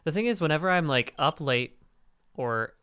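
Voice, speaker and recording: Male host, hoarse and raspy, bright tone, rambling gamer chat. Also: lively intonation, normal speed. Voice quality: deeper raspy register. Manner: rambling, self-interrupting. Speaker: stream host. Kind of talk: casual gaming chat on a charity stream.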